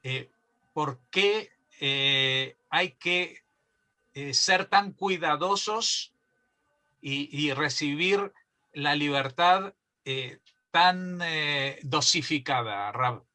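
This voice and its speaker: A man moderating an online panel, asking a long, deliberate question with many pauses. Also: on the high side, sharp tone.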